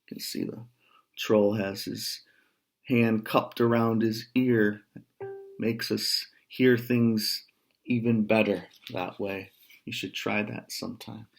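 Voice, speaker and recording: An American man in his fifties reading a children's picture book aloud, with measured, casual explanatory asides. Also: lowish voice, flat delivery, vocal fry, soft background music. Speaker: storyteller, writer. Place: small room at home.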